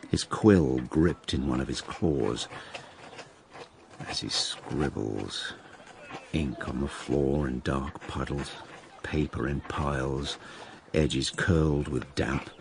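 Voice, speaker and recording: Old writer narrating radio drama, very low and creaky, sardonic, conspiratorial. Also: male voice, a little mellow, a little hiss or hum, full character voice. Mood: brooding, painterly. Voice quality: gravelly. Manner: wry, conspiratorial, dramatic pauses.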